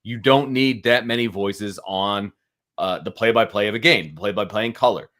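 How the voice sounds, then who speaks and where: Low-voiced, opinionated male sports co-host. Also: moderate pace, a bit bright. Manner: opinionated, emphatic, repeats points for stress. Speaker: sports show co-host, analyst. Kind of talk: casual opinionated sports talk.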